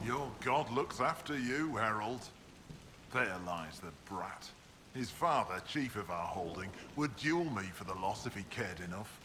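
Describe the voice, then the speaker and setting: An actor playing a proud, devout mountain barbarian healer in a video game, speaking in terse, solemn lines. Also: male voice, fairly low pitch, animated, a little slow, bright, forceful tone, a little hiss or hum. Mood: grim scorn.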